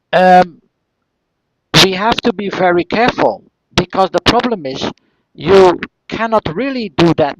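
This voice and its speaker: High-voiced Flemish man, hesitant academic speaker. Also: dry recording. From northern Belgium. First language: Flemish Dutch. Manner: hesitant, repeats words, rhetorical questions. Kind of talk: answering audience questions at an online seminar. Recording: dull lo-fi audio.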